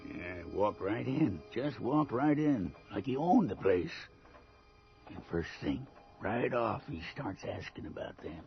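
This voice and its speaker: An actor playing a sardonic, evasive small-town local with a dry, folksy manner in a radio drama. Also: male voice, mid-range voice, sing-song, measured pace, soft background music. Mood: agitated, gossipy. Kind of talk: 1980s radio drama acting. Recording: muffled, hissy old radio recording.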